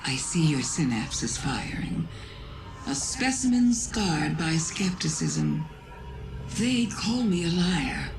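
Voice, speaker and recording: A woman playing an ancient, cunning alien witch god in a video game, silky, theatrical and manipulative, in a measured persuasive delivery. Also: deep voice, heavily acted. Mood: coyly persuasive. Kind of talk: video game villain monologue. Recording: loud music behind the voice. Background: loud music.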